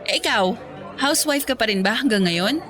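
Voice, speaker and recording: A woman's voice: on the high side, expressive, moderate pace, fairly bright tone, faint music behind, some chatter behind. An actress playing a chatty, candid single career woman, breezy and teasing with her friend in radio drama dialogue.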